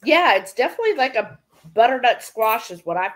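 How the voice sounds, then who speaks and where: A woman's voice: a little high, sing-song, brisk, a bit bright. A woman in her thirties chatting casually during a home cooking livestream, reading out viewer comments. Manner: reads viewer comments aloud. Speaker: livestream co-host. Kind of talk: casual live cooking chat. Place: home kitchen. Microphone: fixed camera mic at the table.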